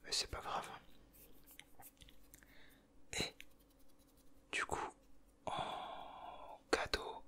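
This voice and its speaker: Whispering Frenchman, very crisp and bright. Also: drawn-out words, long pauses. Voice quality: breathy unvoiced whisper. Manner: soft, hushed, casual. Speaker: ASMR video creator. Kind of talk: whispered ASMR, chatting and reading aloud from a booklet.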